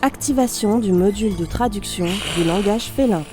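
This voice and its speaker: A woman playing a damaged robot unit in audio fiction, speaking formally and precisely, polite, analytical and gently naive. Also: mid-range voice, normal speed, over music, natural voice. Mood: polite, didactic. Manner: formal, clipped system announcements and precise explanations. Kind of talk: scripted audio fiction monologue.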